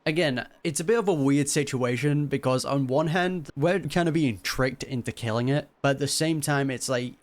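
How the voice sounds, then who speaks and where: Slangy male gamer with mid-pitched voice. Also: normal speed, hardly any pauses. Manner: slangy, informal. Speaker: gaming YouTuber. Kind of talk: casual game reaction commentary. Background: faint game music.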